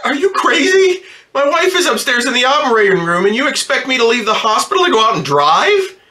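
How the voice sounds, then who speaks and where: A man's voice: very high-pitched, big pitch swings, brisk, sharp tone, heavily acted. A man playing an embittered, distraught ambulance driver in slangy, stammering old-time radio drama style. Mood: frantic, pleading. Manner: slangy working-class talk, stammering when upset.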